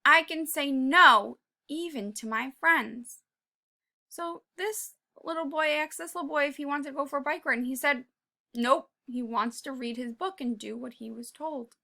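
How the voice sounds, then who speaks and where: A woman reading a children's picture book aloud, pausing to talk to young listeners in an encouraging way and sometimes dropping to a whisper. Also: sits high, gravelly. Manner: drops to a whisper at quiet moments. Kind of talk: read-aloud with commentary for kids.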